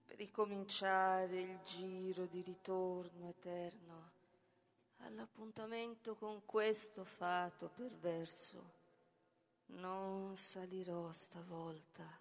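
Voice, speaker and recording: A woman's voice: fairly low pitch, little pitch movement, slow pace. An actress playing a solemn tragic woman, chanting like a chorus in a declamatory, incantatory theatre reading. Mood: grave, resolute. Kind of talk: poetic avant-garde theatre reading, half-sung incantation. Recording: long hall reverb, music behind.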